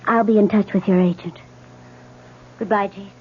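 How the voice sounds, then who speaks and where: An actress in an old-time radio drama playing a poised, persuasive theatre woman, polite and measured. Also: mid-range voice, fairly even intonation, slight background noise, lightly acted. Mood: cool, regretful. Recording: thin, phone-like old radio recording.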